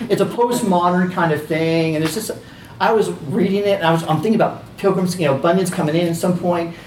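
A man's voice: a little fast, darkish tone, a little hiss or hum. A man teaching a casual class, talking in a chatty way with lots of fillers and restarts. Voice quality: squeaky. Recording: roomy, distant, faint ambience.

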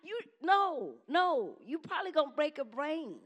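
High-voiced woman preaching to her church. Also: sing-song, measured pace, fairly bright tone. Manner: conversational preaching with call-and-response asides; says she has a strong voice. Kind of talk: sermon.

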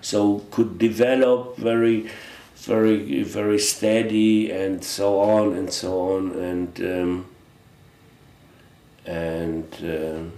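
A middle-aged man from Poland with a Polish accent, chatting as an interview guest in a halting, reflective way with frequent restarts. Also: sits low, flat delivery, dark tone, echoey room, slight background noise. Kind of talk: casual interview chat.

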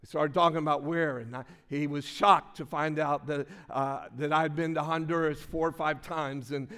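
Older male pastor, folksy sermon storyteller, slightly high voice, long church reverb. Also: steady tone, normal speed, a bit bright, smooth. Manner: folksy storytelling with many restarts. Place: church sanctuary.

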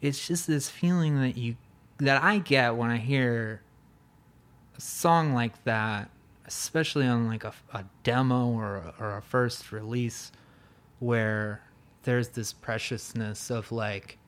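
Slow, rambling man hosting a chat. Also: medium pitch, a bit bright. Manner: rambling, searching for words, swears casually. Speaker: podcast host. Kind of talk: casual interview chat.

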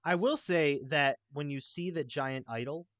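A man's voice: a little high, unhurried, a bit bright, no echo. A man co-hosting a podcast, talking casually about a video game and giving his opinions in an informal, conversational way. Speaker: podcast co-host.

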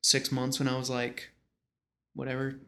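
A man in his thirties with an American accent talks in a relaxed, rambling storyteller's style. His mid-pitched voice is full of vocal fry. The phrasing is informal and slangy, like a guest swapping memories. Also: normal speed. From small-town western New York, United States. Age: 30s. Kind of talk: casual conversational storytelling.

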